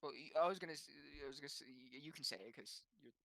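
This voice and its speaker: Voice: mid-range voice, fast talker, crowd noise, poor audio. A tabletop game player of unclear sex chatting casually with the group. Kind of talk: casual chat.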